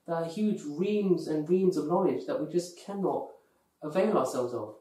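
Earnest male co-host from the UK, a bit higher-pitched, recorded distant in a very reverberant room. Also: normal speed, dark tone. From UK, of Pakistani heritage. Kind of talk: earnest conversational chat. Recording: echoey room, distant from mic.

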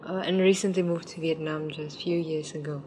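A woman's voice: sits low, fairly even intonation, brisk, darkish tone, a little hiss or hum. A young woman from Ukraine, a raw pastry chef and founder, answering interview questions with many fillers and restarts.

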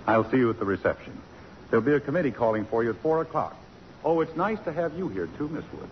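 An actor playing a gentle, reticent middle-aged college president, hesitant and courteous, in 1950s radio drama style. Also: male voice, medium pitch, lively intonation, fast, lightly acted. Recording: muffled, noisy old radio recording.

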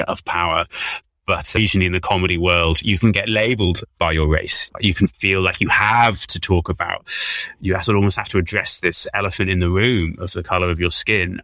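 A man's voice: low voice, pushed and bright, dry recording. A man from the south of England, a stand-up comedian, talking in an earnest, chatty and self-deprecating way. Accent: southern English. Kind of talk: casual conversation.

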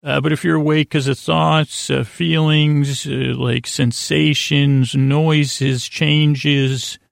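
A man with a creaky, gravelly voice hosts a bedtime podcast, rambling haltingly and interrupting himself as he digresses. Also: fairly high pitch, level tone, dry recording, studio quality. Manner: halting, digressive, with repeated stumbles and self-interruptions. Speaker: podcast host.

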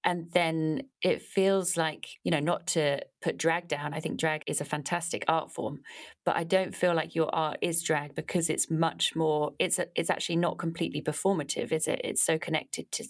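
A woman with a very low voice hosts a podcast interview. She thinks aloud in a reflective way, often adding "kind of" and "you know". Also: steady tone, moderate pace. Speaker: podcast host. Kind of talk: interview conversation.

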